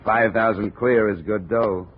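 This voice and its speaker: An actor playing a wry, hard-boiled insurance investigator in a 1950 radio detective drama, deadpan and wisecracking. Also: male voice, lowish voice, unhurried, slightly rough. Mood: cool, firm. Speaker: narrator and lead actor. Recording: tinny, noisy old radio broadcast.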